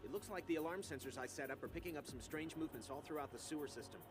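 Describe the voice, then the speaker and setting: An actor voicing a brainy, tech-minded ninja turtle who explains things analytically. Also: male voice, a little high, rapid-fire, music in the background, lightly acted. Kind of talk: video game cutscene acting.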